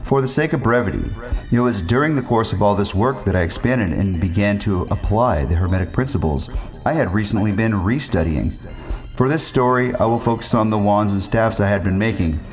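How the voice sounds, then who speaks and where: A man with a fairly low voice narrates a first-person story. His phrasing is ornate and wordy, wandering as he goes, with faint music underneath.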